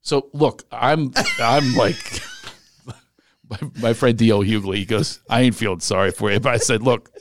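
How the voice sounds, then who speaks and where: A man's voice: medium pitch, unhurried, slight background noise. A man with an American accent hosting a podcast, chatting casually and joking as he tells stories. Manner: joking, animated storytelling. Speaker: podcast host. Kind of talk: casual comedic interview chat.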